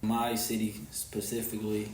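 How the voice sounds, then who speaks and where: Flat, laid-back young Texan man. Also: lowish voice, moderate pace. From Houston, Texas. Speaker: podcast co-host. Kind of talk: casual podcast chat. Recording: echoey room with faint hum.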